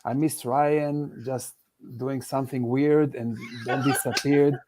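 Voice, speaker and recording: A man's voice: medium pitch, background noise. Israeli-accented man doing stand-up. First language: Hebrew. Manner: deadpan joking with halting restarts. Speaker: stand-up comedian. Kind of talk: stand-up set on a video call. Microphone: video call.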